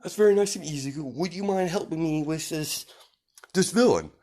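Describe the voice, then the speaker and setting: A man's voice: a little high, fairly quick, dark tone, voice pushed up, natural voice. A man voicing a kindly adult superhero in a fan-fiction story, with a courteous, reassuring, declamatory manner. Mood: reassuring, hearty.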